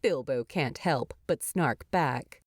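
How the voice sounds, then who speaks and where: A woman reading a story aloud as an expressive scripted narration. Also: extremely low pitch, slow pace, slightly rough, no room sound, clean studio sound. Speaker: narrator of fan fiction.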